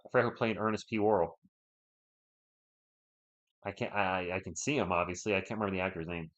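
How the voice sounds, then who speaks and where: A man's voice: low voice, steady tone, fast talker, a bit bright. A man with a sore throat, losing his voice, doing casual live play-by-play of a basketball game while chatting with viewers. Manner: chatty, reads and answers viewer chat between plays. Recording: dull lo-fi stream audio.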